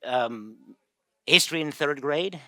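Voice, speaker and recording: A South African man talks through patient stories in a lively, bright voice, often acting out what patients say. The sound is close and dry, with no room echo. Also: fairly high pitch, measured pace. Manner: storytelling, laughs easily, quotes patients. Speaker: guest pain expert. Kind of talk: casual explanatory chat.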